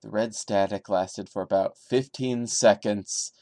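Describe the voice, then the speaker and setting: A man with a fairly low voice, recorded dry and close. He reads a story aloud with dramatic delivery, then breaks off into sarcastic, exasperated commentary in the manner of a mocking podcast host. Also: fairly even intonation, measured pace, warm tone, dry recording. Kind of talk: dramatic reading with mocking asides.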